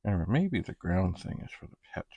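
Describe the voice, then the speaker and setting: A man thinking aloud in a halting, casual ramble as he hosts a hands-on hobby demonstration, muttering to himself. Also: low voice, sing-song, mellow, a little hiss or hum. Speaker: retro computer hobbyist host. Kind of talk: casual rambling demonstration while testing equipment.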